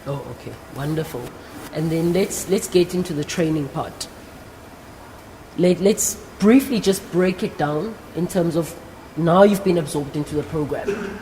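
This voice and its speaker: A woman with a South African accent hosts a career interview in a low register. Her tone is soft-edged and encouraging as she addresses her audience directly. The sound rings in a large hall with faint noise. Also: a little slow. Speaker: interview host. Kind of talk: live career talk show interview before young guests.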